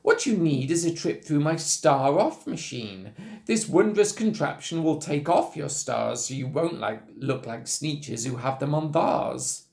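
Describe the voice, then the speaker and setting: Casting: sly, showy huckster creature for a storybook, played by a man, highly animated intonation, some creak. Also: a little slow. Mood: persuasive. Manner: salesman patter, persuasive, showy. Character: sly fast-talking male salesman creature, falsely friendly.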